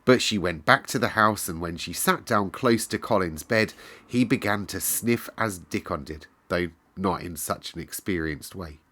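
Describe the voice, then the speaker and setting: A man's voice: sits low, normal speed, sharp tone. A man narrating a classic children's novel as a read-aloud storyteller.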